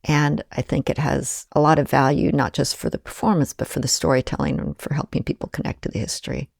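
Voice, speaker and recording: Woman guest on a podcast, bit of vocal fry, thoughtful and measured, dry close sound. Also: sits low, level tone, darkish tone, dry recording. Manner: thoughtful, measured, enthusiastic about collaboration. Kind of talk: reflective conversational interview.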